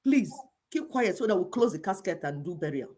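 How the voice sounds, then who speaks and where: A woman's voice: lowish voice, expressive, a little fast, a little mellow. A middle-aged Igbo woman with a Nigerian accent, giving impassioned commentary in an emphatic, repetitive, preaching cadence. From Igbo people of Nigeria.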